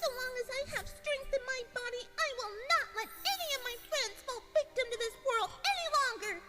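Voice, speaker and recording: A woman voicing a guilt-ridden, fiercely protective young cartoon pony, emotional and halting, with music behind her. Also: very high voice. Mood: fierce, defiant. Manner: emotional, halting, repeating words. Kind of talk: dramatic fan fiction acting. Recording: dramatic music playing behind. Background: loud music.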